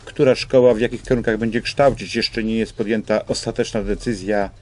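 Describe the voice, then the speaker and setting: An older man explains things as a guest in a radio interview, with halting phrasing, on a studio microphone. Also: on the low side, fairly even intonation. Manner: halting, hesitant phrasing with repeated starts. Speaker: school representative, radio guest. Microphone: studio microphone on boom arm.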